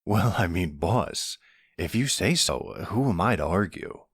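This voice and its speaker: Man playing a deep, smug young mafia boss. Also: lively intonation, husky, no echo, studio quality, exaggerated voice. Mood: smug, playful. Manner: teasing, unhurried, smug. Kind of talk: ASMR boyfriend roleplay acting.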